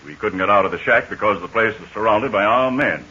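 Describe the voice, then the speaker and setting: A hearty army major. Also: male voice, lowish voice, fairly quick, pushed and bright, breathy edge. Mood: earnest. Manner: hearty, earnest storyteller. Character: steady middle-aged army major. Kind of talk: 1940s radio acting. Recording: muffled old radio recording with faint hiss.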